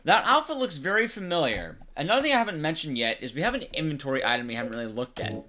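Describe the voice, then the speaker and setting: Guy doing casual game commentary, super animated pitch swings, faint-to-clear noise and clicks behind him. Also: fast talker. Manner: conversational, explains to the audience. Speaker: streamer and commentator. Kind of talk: casual live gameplay commentary.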